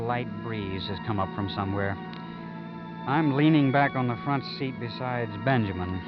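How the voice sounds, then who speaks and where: A man's voice: mid-range voice, lightly acted. A man acting an earnest, weary young soldier in a 1950s radio drama. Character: weary, emotional young soldier wrongly suspected of murder. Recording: muffled vintage radio recording with music.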